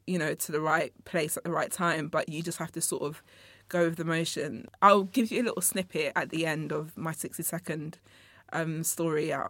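A woman's voice: on the low side, fairly bright tone, no echo, clean studio sound. Chatty young woman podcaster. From born in Congo, raised in England. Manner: chatty and rambling, addresses listeners as "guys". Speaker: podcast host. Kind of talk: casual solo podcast monologue. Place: studio.

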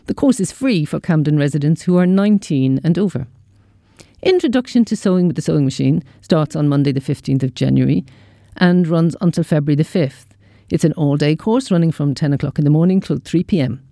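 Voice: mid-range voice, brisk, creaky, warm tone, no room sound, clean studio sound. A community radio presenter reading a scripted events listing.

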